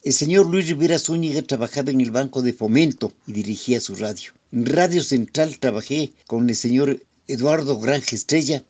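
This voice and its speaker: An older-style sound technician tells his memories in a formal, deliberate manner. His voice is mellow and dark, at an ordinary pitch. Each sentence is laid out with care. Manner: formal, measured recollection.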